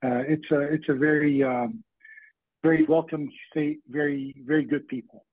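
A man's voice: a little high, steady tone, moderate pace, no room sound. A middle-aged man from Lebanon and West Virginia, a doctor casually explaining his work as an interview guest. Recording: thin phone-like band, dry.

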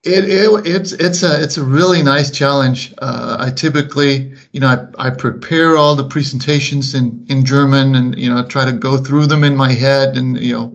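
A middle-aged man whose first language is Danish speaks English with a slight Kansas drawl, talking slowly and deliberately with many restarts in a casual interview. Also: mid-range voice, fairly even intonation. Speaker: guest, officiating coordinator. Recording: slightly muffled podcast audio.

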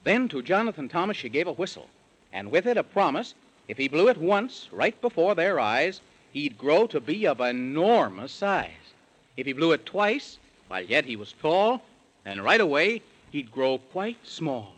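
A man's voice: fairly high pitch, big pitch swings, normal speed, a bit bright, rough recording. A man narrating a 1930s radio children's serial in rhyme, with a theatrical sing-song storytelling delivery.

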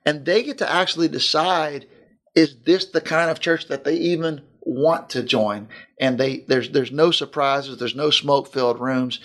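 A man's voice: a little high, moderate pace, a bit bright. A male pastor talking in a casual podcast discussion, earnest and fond of long lists.

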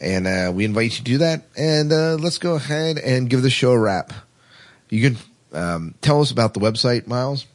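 A man's voice: medium pitch, animated, normal speed. A man co-hosting a podcast in a casual, informal, conversational way. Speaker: podcast co-host.

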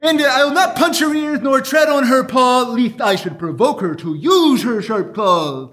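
A man's voice: extremely high pitch, expressive, unhurried, fairly bright tone, heavily acted. A man voicing a goofy cartoon moose who recites poetry pompously and theatrically in a live radio-style comedy sketch. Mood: indignant, pompous. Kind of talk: live old-time radio variety sketch.

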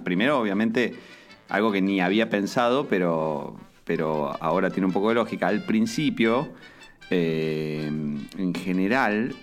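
Man, very low voice, rambling casual talk, soft music behind. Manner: rambling, many fillers and restarts. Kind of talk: casual podcast chat.

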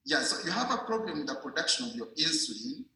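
A man's voice: on the high side, sing-song, quick pace, sharp tone. A man giving an informal medical explanation in a didactic way, repeating himself and checking that the listener understands. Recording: very echoey room.

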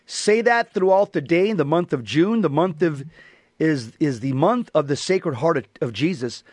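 A man's voice: fairly high pitch, lively intonation, moderate pace, no echo, clean studio sound. An American man hosting a talk radio show, giving emphatic monologue commentary in which he repeats and restates his points.

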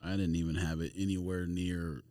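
A man's voice: sits low, little pitch movement, fairly quick, warm tone, no room sound, studio quality. A man hosting a podcast with casual, teasing, slangy banter and mock-formal announcements.

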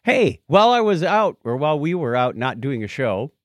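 A man's voice: a little high, big pitch swings, normal speed, no room sound, studio quality. A man co-hosting a podcast, chatting casually and telling personal anecdotes. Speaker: podcast co-host.

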